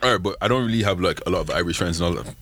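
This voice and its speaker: Deep-voiced man talking fast. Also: fairly bright tone, slight background noise. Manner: earnest, hedging with many "like"s. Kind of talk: casual chat.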